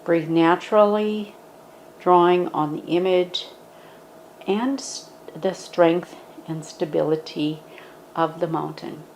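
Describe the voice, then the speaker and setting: A woman gently guiding a standing yoga meditation, speaking in a calm, instructive way. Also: on the low side, takes it slowly, long pauses, slight background noise, low-quality recording.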